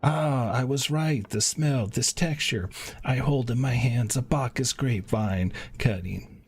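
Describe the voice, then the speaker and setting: A man voicing an excitable, proud wine connoisseur from a fantasy game, reading the lines with emphatic, theatrical delivery. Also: medium pitch, unhurried, slightly rough. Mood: excited. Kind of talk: reading game dialogue aloud.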